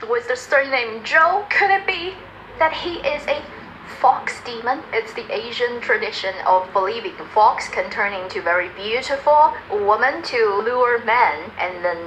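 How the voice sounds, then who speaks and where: A woman with a bright, sharp voice explains dialogue in a lively, chatty way, laughing often as she goes. A faint hiss sits under her voice. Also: a little high, unhurried, poor audio. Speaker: commentator explaining drama dialogue. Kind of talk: explanatory chat.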